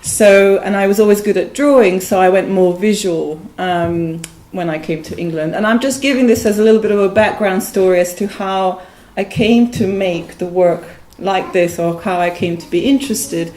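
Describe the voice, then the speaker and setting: A woman's voice: mid-range voice, moderate pace, few pauses, a little mellow. Hesitant woman from Bosnia, roomy gallery. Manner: many ums, plain and personal. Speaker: artist speaking at a panel. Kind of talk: hesitant panel talk. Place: gallery room. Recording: roomy gallery with faint noise.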